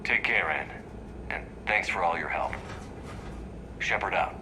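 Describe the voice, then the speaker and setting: A man playing a steady, resolute starship commander in a sci-fi video game, with terse, measured delivery. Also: low-pitched, noisy background. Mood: calm, resolute. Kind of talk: cinematic sci-fi game dialogue.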